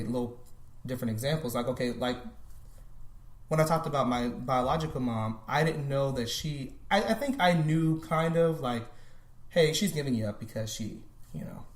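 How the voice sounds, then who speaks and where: A man's voice: mid-range voice, expressive, moderate pace. A man in his thirties, a podcast guest, talking thoughtfully in a casual conversation and often restarting his sentences. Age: 30s. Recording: roomy echo.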